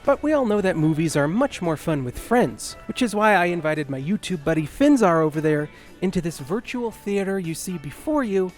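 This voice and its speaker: Guy doing a sarcastic scripted review, kinda high voice, music under it. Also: expressive, normal speed. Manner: sarcastic, self-deprecating joking delivery. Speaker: host of a video game review channel. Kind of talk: comedic scripted movie review narration.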